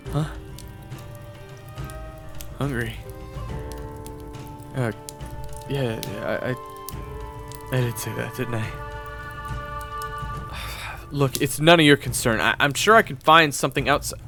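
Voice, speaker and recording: A man's voice: medium pitch, takes it slowly, long pauses, voice pushed up. A man voicing a married swordsman from northwest Europe, flustered and wary, stammering through nervous restarts, with music playing behind. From Orleans, northwest Europe. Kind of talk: audio roleplay acting.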